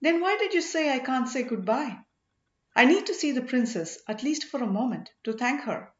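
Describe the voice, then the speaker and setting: An earnest, exclamatory young exiled king from a storybook, acted out by a woman reader in a fairly high voice. He speaks formally and with feeling, grateful and wistful. The sound is echoey and a little dull. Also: animated, normal speed. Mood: eager, insistent. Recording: roomy, slightly dull home recording.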